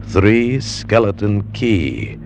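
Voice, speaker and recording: A man announcing a 1950s radio drama show in a portentous, deliberate, dramatic style. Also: lowish voice, very animated, noisy background. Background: dramatic music.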